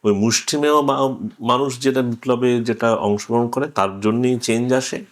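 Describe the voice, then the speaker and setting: A man interviewing someone, making his argument conversationally and haltingly, in short fragments. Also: medium pitch, fairly even intonation.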